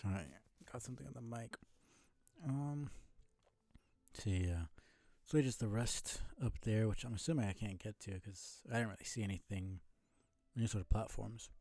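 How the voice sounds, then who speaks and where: A man giving casual live commentary on a video game, musing aloud in a low-key way. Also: normal speed, mellow, no echo.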